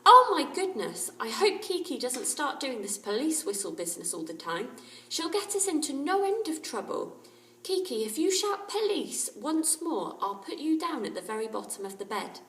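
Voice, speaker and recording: A woman voicing a lively, teasing, bossy schoolboy in an emphatic storybook reading. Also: high-pitched, normal speed, voice pushed up. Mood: exasperated scolding. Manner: emphatic, exclamatory. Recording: roomy echo, distant microphone.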